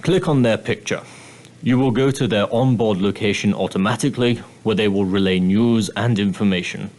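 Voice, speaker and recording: An actor playing a prim, straight-laced ship's steward in an adventure game, speaking formally with dry, condescending politeness. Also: male voice, fairly low pitch, natural voice. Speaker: steward and guide on an ocean liner. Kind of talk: scripted adventure game character dialogue.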